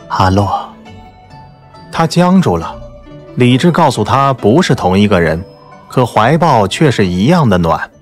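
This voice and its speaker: A man reading scripted dramatic narration from a romance novel. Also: medium pitch. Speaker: audiobook narrator.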